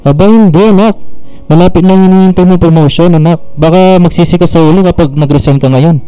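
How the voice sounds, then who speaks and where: Actor as mellow, earnest workaholic father. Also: high-pitched, fast, clean tone, lightly acted, poor audio. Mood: earnest, advising. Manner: paternal, earnest, advising. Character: workaholic older father, fond but pressing about career. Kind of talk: radio drama acting.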